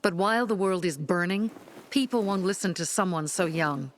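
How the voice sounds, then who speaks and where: An actress playing a resolute, hardened young survivor leader in a video game, earnest and measured. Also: female voice, on the low side, slight background noise, close to an everyday voice. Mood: somber recollection. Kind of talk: naturalistic video game acting.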